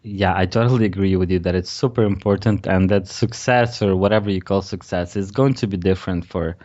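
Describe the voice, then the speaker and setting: Low-voiced male podcast host, muffled lo-fi sound. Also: fairly even intonation, a little fast, darkish tone. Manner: encouraging, affirming. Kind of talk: conversational podcast interviewing.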